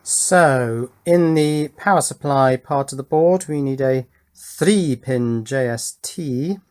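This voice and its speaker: A man's voice: medium pitch, slow, darkish tone. A middle-aged man giving a casual, chatty running commentary on a hands-on electronics build, thinking aloud and often putting little questions to himself. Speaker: electronics hobbyist presenter.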